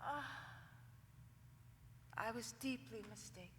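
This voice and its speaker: A woman's voice: on the high side, takes it slowly. A woman playing a loving, pleading, impassioned romantic lead in a staged musical reading, in an echoey theatre. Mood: fond. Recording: echoey theatre hall with loud musical accompaniment.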